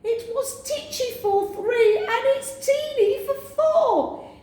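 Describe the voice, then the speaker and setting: Shrill, flustered little old lady. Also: slow, dark tone. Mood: distressed wailing. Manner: wailing, pleading, exclamatory. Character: flustered, grumbling little old woman in a storybook. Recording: very echoey room, faint noise.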